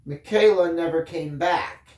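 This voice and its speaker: A woman's voice: on the low side, a little slow, a little mellow, echoey room, close to an everyday voice. A woman reading a first-person audiobook as an earnest, bookish boy who secretly hunts aliens. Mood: reflective, wistful.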